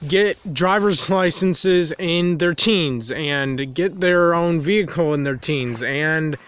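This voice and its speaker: A man with a nasal, stuffed-up voice, pitched a touch higher than most men, rambles through a confessional vlog monologue. He repeats himself often and restates the same point several ways. He is recording outdoors in the cold. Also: slow pace, a bit bright, smooth. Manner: repetitive, circling back to restate points. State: congested from a runny nose. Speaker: vlogger.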